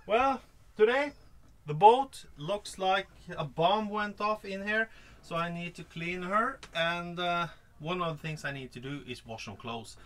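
A man in his forties, vlogging to camera on a sailboat, talking in a relaxed, chatty way with many fillers. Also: sits high, unhurried, slight background noise. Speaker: sailing vlogger. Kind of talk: casual vlog talk to camera. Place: sailboat on a fjord, outdoors, then in the cabin. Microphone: camera held at arm's length, then camera on a shelf.

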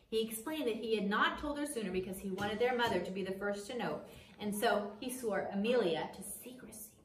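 A middle-aged woman reading a story aloud in a measured, expressive way, recorded at a distance in a small classroom. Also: fairly high pitch, a little hiss or hum. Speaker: teacher reading a book aloud. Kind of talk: read-aloud storytelling from a children's biography. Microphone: camera across a table. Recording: roomy, picked up from a distance.

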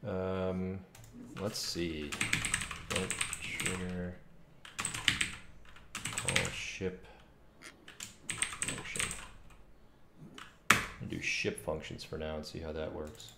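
A man in his thirties mutters through a coding stream in a deep, creaky voice. He talks slowly, in fragments, as if to himself. The room is echoey, with loud keyboard clicks behind him. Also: flat delivery, very noisy. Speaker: game developer live streamer. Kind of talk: casual live-coding stream, thinking aloud. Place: small home room, indoors. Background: typing and mouse clicks.

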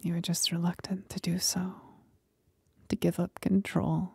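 A woman playing a seductive, mocking demon companion in a whispered ASMR roleplay, speaking breathily with deliberate pauses. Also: fairly low pitch, flat delivery, vocal fry, mellow, slightly rough, no room sound, studio quality, full character voice. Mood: tempting.

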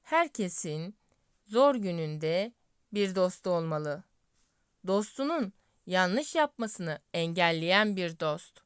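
Lady playing a wise kindly star in a fairy tale, slow n earnest, really clear voice. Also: on the low side, expressive, no room sound, studio quality. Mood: earnest counsel. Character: wise, kindly North Star giving gentle counsel.

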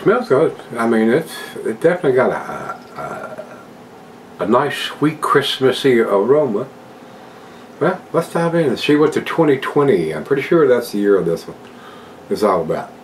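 A man's voice: medium pitch, very animated, moderate pace, a little hiss or hum, low-quality recording. An older man at home casually reviewing a beer, chatting to his viewers in a folksy way. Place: home room with a desk and plants, indoors.

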